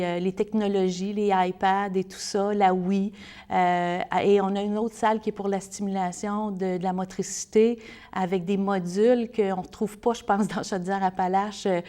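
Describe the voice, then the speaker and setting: A woman's voice: medium pitch, a little mellow. A woman with a Québécois French accent giving earnest, explanatory answers as an interview guest speaking for a service.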